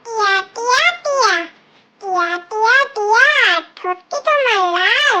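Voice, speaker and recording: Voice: very high-pitched, a little slow, sharp tone. A tiny childlike voice reciting nursery rhymes in a sing-song way, on a very noisy track with clicks.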